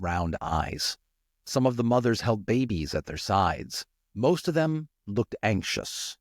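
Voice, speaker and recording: A man's voice: low-pitched, dry recording, studio quality. A man reading a storybook aloud as narrator, slipping into chatty, informal asides. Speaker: storyteller and audiobook reader.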